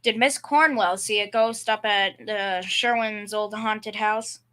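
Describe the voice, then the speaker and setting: A woman's voice: mid-range voice, fairly even intonation, takes it slowly, bright, forceful tone. A young female performer playing a bumbling, confidently clueless working-class dad in a school old-time radio comedy, with folksy bad grammar. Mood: scoffing, insistent. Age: young teen.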